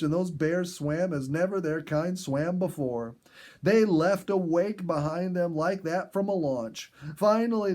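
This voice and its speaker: A man reading a story aloud as a narrator, with animated delivery and dramatic pauses and emphasis. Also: fairly high pitch. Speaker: audiobook reader and story host.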